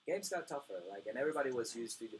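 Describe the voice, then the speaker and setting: Fast-talking young man, rambling chat. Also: medium pitch, expressive, slight background noise. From Costa Rica and Venezuela. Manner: fast, rambling, many fillers "like". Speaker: interview guest, poker player. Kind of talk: casual chat. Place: home in Costa Rica.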